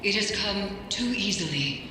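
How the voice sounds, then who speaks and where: An actress playing a grave, suspicious occultist in a video game briefing, speaking in a portentous, formal, deliberate way. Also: female voice, medium pitch, animated, natural voice. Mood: brooding, suspicious. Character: brooding, mystical woman occultist, grave and suspicious. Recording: very echoey long reverb, noisy ambience.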